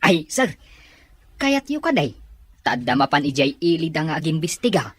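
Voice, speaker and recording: A woman's voice: sits low, sing-song, normal speed, slightly rough, dry recording, heavily acted. A woman playing a chatty, obliging office underling in a serial drama, over music. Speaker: actor in a Filipino serial drama. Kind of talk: acted drama dialogue. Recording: music behind the voice.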